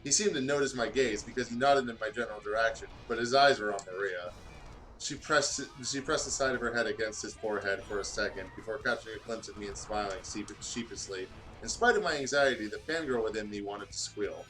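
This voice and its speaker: A man's voice: on the low side, fairly quick, fairly bright tone, low-quality recording. A man doing a comedic read-aloud of fanfiction, stumbling over words and breaking off to comment. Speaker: fanfiction reader on a gaming show.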